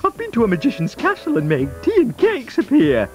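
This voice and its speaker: A man's voice: extremely high pitch, huge pitch swings, fairly quick, music in the background, pitched above the performer's own voice, exaggerated voice. A man voicing a curious, polite young female cow in a children's storybook. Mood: delighted.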